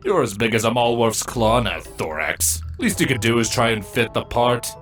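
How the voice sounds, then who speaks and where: A man playing a smug, gruff older-brother changeling, a sardonic insect creature, narrating his story in a dry, taunting way. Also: medium pitch, moderate pace, fairly bright tone, faint music behind, natural voice. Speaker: first-person narrator and character. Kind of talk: dramatic first-person story narration.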